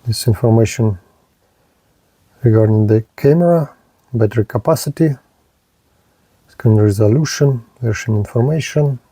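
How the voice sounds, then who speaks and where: A man narrating a product review and unboxing in short, halting, plain phrases. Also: medium pitch, warm tone, breathy edge, dry recording. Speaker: gadget reviewer.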